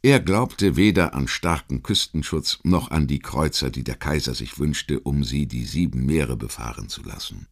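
A man reading a scripted history documentary as an audiobook narrator, in a measured, literary delivery with deliberate phrasing. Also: very deep voice, lively intonation, creaky.

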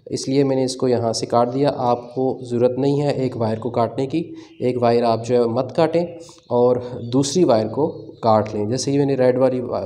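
A man's voice: medium pitch, mellow. A man with a Pakistani Urdu accent hosting a hands-on tech tutorial, chatting casually with fillers as he works with his hands at a table. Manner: casual explanatory, many fillers, describes while working. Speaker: tech channel host.

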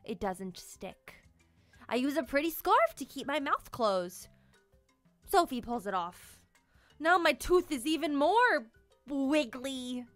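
Young female children's storyteller, high voice, expressive and playful delivery, light music underneath. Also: takes it slowly, dry recording. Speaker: storyteller and children's channel host. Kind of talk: animated children's book read-aloud. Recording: music behind the voice.

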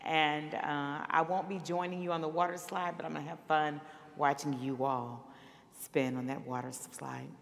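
Low, slightly raspy woman pastor. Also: steady tone, moderate pace. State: recovering from illness, hoarse. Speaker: senior minister. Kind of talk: pastor addressing congregation. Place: large church sanctuary, at the pulpit. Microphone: pulpit microphone. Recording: long church reverb.

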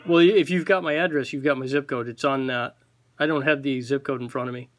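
A man's voice: medium pitch, fairly even intonation, fairly quick, fairly bright tone. A man having a casual phone conversation, giving brief, matter-of-fact replies to a caller, recorded on a handheld phone. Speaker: call recipient playing along with a scam caller. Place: office, beside a desk phone. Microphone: handheld phone camera.

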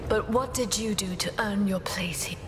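An actress playing a tough, suspicious young warrior in a video game, terse and confrontational. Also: female voice, mid-range voice, moderate pace, noisy background, close to an everyday voice. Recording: echoey, under loud music.

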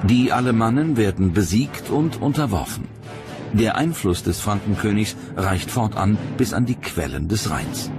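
Low-voiced male documentary narrator over music. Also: expressive, moderate pace. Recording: music bed behind narration.

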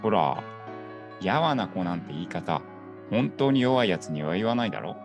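An actor as kindly cafe man, lively intonation. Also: sits low, moderate pace. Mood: gentle, friendly. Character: easygoing, kindly male cafe keeper. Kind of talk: voice drama dialogue. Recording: music clearly behind the voice.

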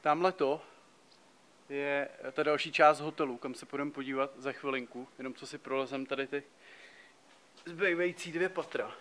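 A man's voice: mid-range voice, steady tone, a bit bright, slight background noise. A young man in his twenties giving loose, slangy commentary while walking through an abandoned hotel, filmed close on a handheld camera. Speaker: urbex vlogger. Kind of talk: casual walk-through commentary. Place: abandoned hotel, indoors and on rooftops. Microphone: handheld camera close to face.

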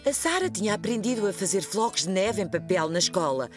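A woman narrating a preschool cartoon as a gentle storyteller, with a European Portuguese accent. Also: mid-range voice, lively intonation, fairly quick, music in the background, close to an everyday voice. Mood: storytelling. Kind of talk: scripted children's storytelling narration.

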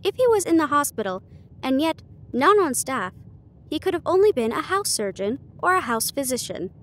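A woman voicing a confident, teasing male detective in a storybook reading, shrewd and theatrical, with deliberate deductions. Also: sits high, sing-song.

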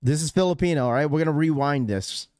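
A man's voice: a little high, quick pace. A young man hosting a sneaker livestream from a small room with a close mic, joking loosely, laughing often and mimicking voices. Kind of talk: casual live stream chat. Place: small room with blue lighting, indoors. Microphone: studio mic on a boom arm, close.

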